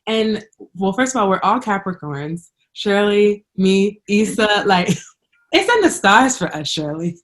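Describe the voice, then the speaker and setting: A woman's voice: mid-range voice, sing-song, measured pace. A woman in her twenties hosting a casual interview, upbeat and encouraging toward her guest. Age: 20s. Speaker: host, life coach.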